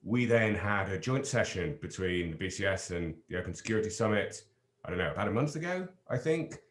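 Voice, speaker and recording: A man leading an online panel discussion, explaining things in a measured way with the odd filler. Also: sits low, lively intonation, a bit bright. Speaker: panel lead presenter.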